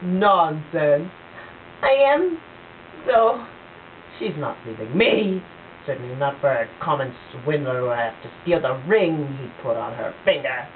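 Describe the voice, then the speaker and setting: A woman's voice: low-pitched, lively intonation, measured pace, recorded from a distance. A woman voicing a scornful, blustering rich husband in an amateur puppet show, with a sneering, huffy delivery. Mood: contemptuous. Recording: tinny lo-fi home recording with hiss.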